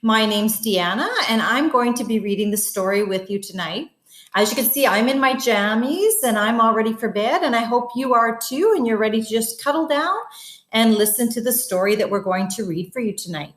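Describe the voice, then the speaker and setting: A woman's voice: a little high, normal speed, reverberant. A woman reading a bedtime story aloud to children, expressively, with wondering asides to her young listeners. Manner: addresses children, expressive, asks wondering questions. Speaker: guest bedtime story reader.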